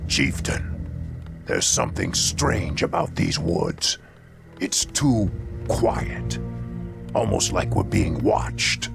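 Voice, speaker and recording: A wary orc grunt, deep and slow. His breathy voice is thick with gravel, speaking loyally to his chief in a fantasy game cinematic over music. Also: very animated, full character voice. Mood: uneasy. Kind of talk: video game cinematic acting.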